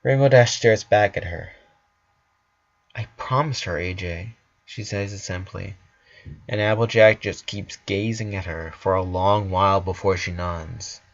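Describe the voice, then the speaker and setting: A man's voice: deep voice, steady tone, normal speed. A man narrating a story from a script, on a slightly dull recording.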